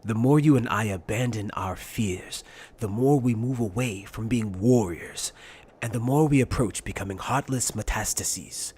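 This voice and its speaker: A man playing a grave, philosophical warrior captain in an anime fan dub, speaking in a measured, formal manner. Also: on the low side, a little mellow, slightly rough. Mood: solemn, grave.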